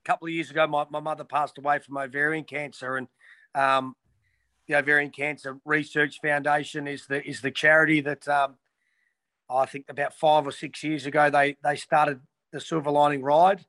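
A man's voice: on the high side, level tone, moderate pace, pushed and bright. An Australian man from Western Australia in his thirties, answering interview questions casually with many ums and restarts. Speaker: interviewee, former footballer.

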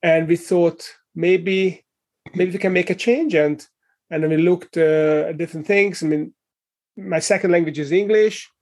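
Middle-aged man, Hungarian accent, fairly high voice; thoughtful interview guest, lots of "uh" and restarts. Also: steady tone, a little slow, a little mellow. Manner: thoughtful, many fillers and restarts. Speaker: guest, leadership coach and author. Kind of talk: conversational interview answer.

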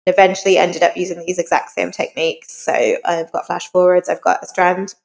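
A woman's voice: fairly low pitch, little pitch movement, fairly quick, vocal fry, big-room sound. A woman talking conversationally as a guest author in an interview.

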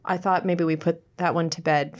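The friend on a comedy film podcast who riffs along playfully, a woman with a lowish voice. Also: fairly even intonation, moderate pace. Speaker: podcast co-host. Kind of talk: casual banter.

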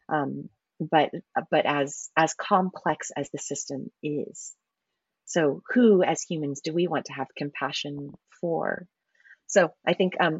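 A woman's voice: sits low, vocal fry, breathy edge, no echo, lo-fi sound. A woman marine ecologist answering interview questions in a thoughtful, explanatory way, often restarting her sentences.

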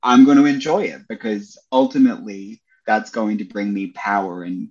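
A young man with a lowish voice, talking eagerly as a podcast guest and peppering his talk with filler words. His audio sounds dull and lo-fi. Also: fairly even intonation, moderate pace, darkish tone. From Australia. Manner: chatty and enthusiastic, with many "like" and "you know" fillers. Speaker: podcast guest, Broadway performer. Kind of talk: casual interview chat.

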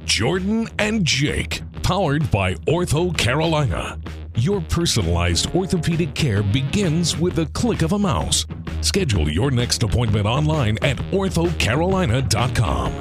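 A man's voice: on the high side, very animated, unhurried. Male ad announcer over music. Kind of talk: scripted ad read.

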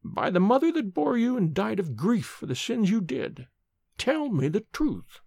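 A frail, ancient nursemaid, put on by a male storyteller, speaks in a thin, cracked, quavering voice. Her words come slowly and severely, rising and falling widely in pitch. The sound is close and dry. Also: big pitch swings, creaky, a little mellow, no echo, full character voice. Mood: grave, pleading. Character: ancient frail old nursemaid woman, stern and sharp.

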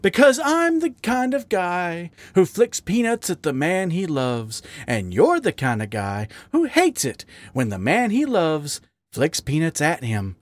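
A man's voice: on the high side, huge pitch swings, unhurried, hardly any pauses, no room sound. A man voicing a bitter, lovesick male raccoon in a dramatic story reading, goading and pleading in a world-weary way. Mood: snarling, goading. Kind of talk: dramatic audiobook character reading.

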